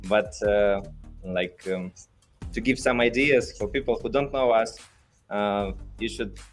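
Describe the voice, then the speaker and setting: A man with a low voice and a Russian accent hosts a casual livestream as a company leader. His phrasing is halting and hesitant, and music plays faintly behind him. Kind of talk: casual live podcast talk. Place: studio built in a hotel room.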